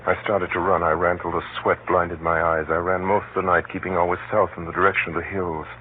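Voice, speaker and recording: An actor playing a hunted man who recounts his flight gravely and tensely, with dramatic measured pauses, in a 1930s radio drama. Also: low voice, level tone, natural voice. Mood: tense, watchful. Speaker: narrator and lead actor. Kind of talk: 1930s radio drama first-person narration. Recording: scratchy, muffled 1930s radio broadcast.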